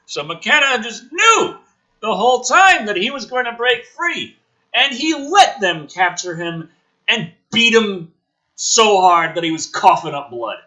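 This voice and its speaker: Man, theatrical script reader, highish pitch, very animated, husky edge, sarcastic, dramatic emphasis. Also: unhurried, lo-fi sound. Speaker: host reading and mocking a film script. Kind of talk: theatrical script reading with exasperated commentary.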